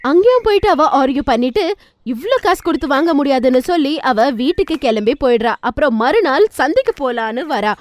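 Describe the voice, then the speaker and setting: A woman's voice: sits high, very animated, fairly quick, dry recording. A woman narrating a scripted cartoon moral story as its storyteller.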